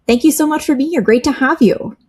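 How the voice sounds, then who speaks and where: A woman's voice: high-pitched, a little fast, a little mellow. A woman hosting an interview, friendly and polished in her phrasing. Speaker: interview host.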